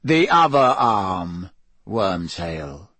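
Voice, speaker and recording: A man voicing a cruel dark wizard villain for an audiobook, speaking with cold, soft, lazy menace and a faint hiss. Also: deep voice, big pitch swings, breathy edge, no echo, pitched below the performer's own voice, full character voice. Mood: cold command. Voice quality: cold, hissing softness. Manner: slow, lazy, deliberate menace. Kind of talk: audiobook character voice.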